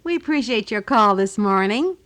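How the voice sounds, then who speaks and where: A woman's voice: medium pitch, expressive, normal speed, fairly bright tone. A middle-aged woman co-hosting a 1980 local radio show, talking casually and politely with listeners and about their letters. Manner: polite, appreciative.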